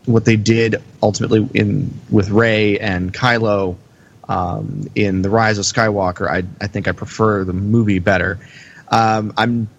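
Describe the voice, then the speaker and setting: A man in a casual critical discussion on a podcast, talking in a measured, thoughtful way with some hesitancy. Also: medium pitch. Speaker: podcast panelist.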